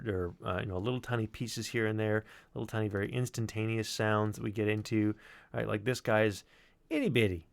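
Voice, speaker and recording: A man giving a casual technical walkthrough as a sound designer on a livestream, thinking aloud haltingly and swearing now and then. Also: lowish voice, vocal fry, no room sound. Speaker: sound designer presenting work.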